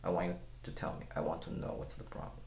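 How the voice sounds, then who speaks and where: A man's voice: fast talker, a little mellow, echoey room, slight background noise. A middle-aged man whose first language is Chinese, talking casually to his webcam at home as a guitar teacher. He rambles, repeats words and asks the viewer pointed questions. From China. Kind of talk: casual talk to camera. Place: small room at home. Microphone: webcam at close range.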